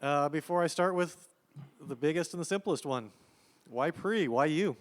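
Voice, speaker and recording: A man with a slightly high voice speaks in a reverberant hall. He is the interviewer, putting questions casually in a live conversation. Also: animated, moderate pace. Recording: echoey hall with long reverb.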